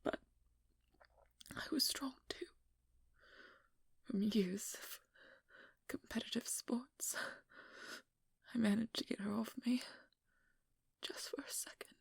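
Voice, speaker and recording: A woman performs a haunted vampire hunter, a determined woman baring her tragic past in an intimate ASMR role-play. Her whisper is very breathy and husky, close and dry. She speaks very slowly, in pained, broken phrases. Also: fairly high pitch, no room sound, heavily acted. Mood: anguished, tearful dread. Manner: slow, halting, pained confession in short broken phrases.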